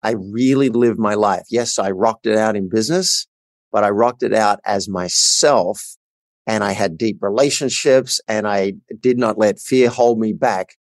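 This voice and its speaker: Australian man, middle-aged, low voice, dry close sound, earnest emphatic storytelling, coach on a podcast. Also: a little slow, no echo. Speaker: podcast guest, life and business coach. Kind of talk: personal storytelling in an interview.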